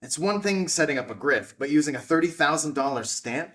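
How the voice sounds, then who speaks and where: An actor at a screenplay table read playing an earnest, skeptical man, with halting, thoughtful phrasing. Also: on the high side, expressive, fairly bright tone. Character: Ben, skeptical, earnest male outsider investigating a scam.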